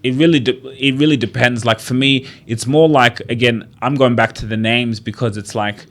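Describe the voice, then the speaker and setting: A man's voice: mid-range voice, fairly even intonation, normal speed, a bit bright. A man talking as a guest on a podcast in a loose, chatty way, with lots of "like" and "you know.". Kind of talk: casual conversation.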